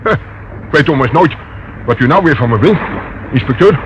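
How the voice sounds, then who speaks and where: A high-pitched, jittery small-time crook from a radio drama, played by a man. He stammers and whines defensively. The old recording is clearly noisy. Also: animated, normal speed, lo-fi sound, lightly acted. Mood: nervous, defensive. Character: nervous, evasive male petty crook. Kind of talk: radio play acting.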